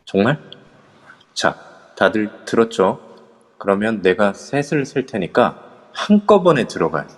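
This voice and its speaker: Guy doing a lowish slow leader type, bossing the team, echoey. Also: very animated. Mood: urgent. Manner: takes charge, urges the group on. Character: young man leading a ghost-hunting group. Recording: long echoey reverb.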